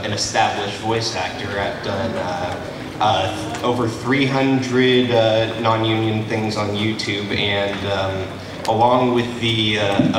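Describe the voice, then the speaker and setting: Man in a very echoey hall, halting. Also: fairly low pitch. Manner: halting, jokey, mock-offended. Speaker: voice actor, convention panelist. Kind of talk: joking panel introduction. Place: large convention panel room. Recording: very echoey noisy hall, distant. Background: room noise.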